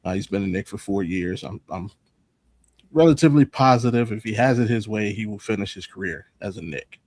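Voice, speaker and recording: A man's voice: on the low side, a little fast, a little mellow, dry recording. A man on a sports talk panel, casually reasoning through his opinions off the cuff in an analytical way.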